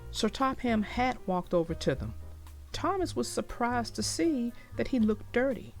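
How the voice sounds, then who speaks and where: A woman narrating a children's story aloud, expressively and deliberately, as if to young listeners. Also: on the high side, big pitch swings, faint music behind.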